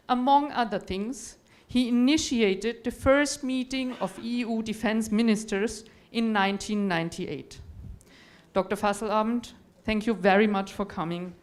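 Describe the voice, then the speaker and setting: A woman from Europe moderating a conference panel, giving formal, courteous introductions of the speakers. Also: a little high. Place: conference hall.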